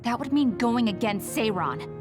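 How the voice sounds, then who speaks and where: A woman's voice: fairly high pitch, expressive, measured pace. A young woman playing a determined, guarded squad leader in an anime-style game dub, speaking firmly and tersely. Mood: grimly resolved. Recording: loud music behind.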